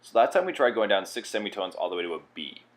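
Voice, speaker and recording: A man with a low voice walks through software steps as a tutorial presenter. His delivery is plain-spoken and instructional, explaining each step as he goes. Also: sing-song, quick pace.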